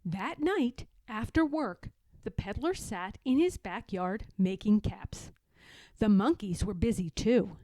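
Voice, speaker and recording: A woman narrating a children's storybook aloud in an expressive, deliberate way. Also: medium pitch, no echo.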